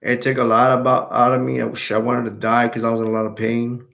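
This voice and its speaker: A young man from Texas chatting casually on a live stream, answering viewers in a rambling, halting way with many restarts. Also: medium pitch, monotone, vocal fry, a bit bright. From Texas, United States.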